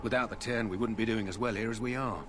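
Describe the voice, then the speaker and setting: A man's voice: lowish voice, fairly even intonation, fast, background noise, lightly acted. A man voicing a loyal, guarded soldier on watch in a fantasy game, speaking in firm, opinionated statements. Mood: confiding. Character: loyal male camp guard, admiring and guarded. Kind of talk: scripted fantasy game dialogue.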